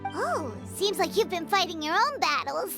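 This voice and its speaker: A woman's voice: very high-pitched, sing-song, normal speed, music in the background, full character voice. A woman voicing a small, childlike companion creature in a video game, chirpy and eager. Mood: cheerful. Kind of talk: acted video game dialogue.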